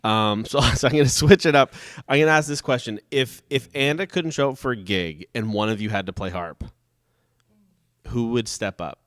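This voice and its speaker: A man interviewing a band, casual and halting, often searching for his words. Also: medium pitch, a bit bright, no room sound, studio quality.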